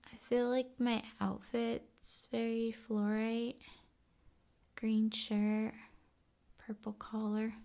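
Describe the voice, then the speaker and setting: Soft, slow ASMR woman, dark-toned. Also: a little high, flat delivery. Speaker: ASMR video host. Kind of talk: ASMR, soft-spoken and whispered rambling.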